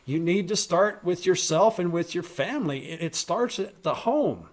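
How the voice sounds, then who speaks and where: A man with a fairly high voice rants on a local podcast. He is blunt and commanding, swears freely, and gets loud when a point matters to him. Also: lively intonation, moderate pace. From rural western United States, raised on a ranch. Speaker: podcast host. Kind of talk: opinionated political talk.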